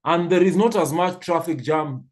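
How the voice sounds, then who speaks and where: A man's voice: a little high, normal speed. A man in his fifties from Kenya, telling stories thoughtfully with frequent restarts on a church panel. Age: 50s.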